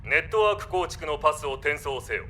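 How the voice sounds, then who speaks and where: Stern, high-pitched male patrol officer. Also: expressive, brisk, sharp tone, faint music behind. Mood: officious. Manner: formal, clipped, commanding. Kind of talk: Japanese audio drama acting.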